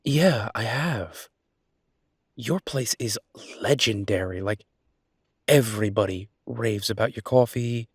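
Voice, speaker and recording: A man playing a casual, affectionate chef boyfriend in a roleplay audio, talking informally and a bit haltingly. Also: fairly low pitch, husky, no echo, clean studio sound, full character voice. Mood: admiring.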